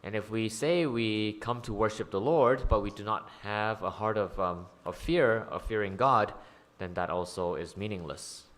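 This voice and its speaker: A man's voice: lowish voice, moderate pace. A man interpreting a sermon into English, hesitant, with frequent ums and uhs. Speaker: church interpreter.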